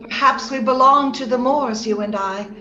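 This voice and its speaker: A high-pitched, impetuous young heroine of a radio melodrama, played by a woman, theatrical and headstrong in her passion. The voice sits in a roomy, slightly dull video-call recording with faint noise. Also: normal speed, natural voice. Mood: rapturous, tender. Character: Kathy, passionate, willful young woman of the moors. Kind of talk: old-time radio drama acting. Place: indoor room, remote video-call session.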